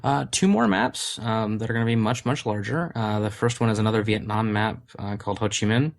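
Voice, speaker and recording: A man with a lowish voice talks through his answers in a casual interview. He pauses often and stacks up fillers and restarts as he explains. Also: creaky. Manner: hesitant, many fillers and restarts. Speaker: game studio community manager.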